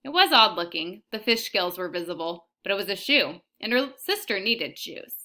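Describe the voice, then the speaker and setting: A woman's voice: medium pitch, animated, moderate pace, pushed and bright. A woman teacher talking to pupils and narrating a children's book aloud. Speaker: elementary teacher reading aloud.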